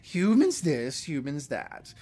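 Vain, flirtatious alien prince, florid and pompous, animated pitch swings, slightly husky. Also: male voice, slow pace, heavily acted. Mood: haughty, airy. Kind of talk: audio fiction acting.

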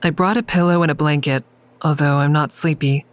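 A woman's voice: sits low, steady tone, fairly quick, no echo, lightly acted. A woman playing a troubled woman who tells her own story in scripted first-person audio drama narration. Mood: somber, anxious.

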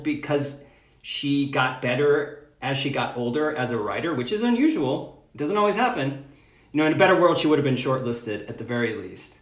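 A man speaking as a poet and podcast guest in a casual literary interview, candid, wry and chatty. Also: mid-range voice. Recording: echoey, roomy sound.

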